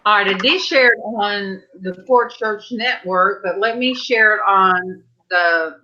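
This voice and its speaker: A woman's voice: medium pitch, slow pace, pushed and bright, lo-fi sound. A middle-aged woman, hosting an online church panel in a folksy, chatty way over a video call. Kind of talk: casual chat on a livestream.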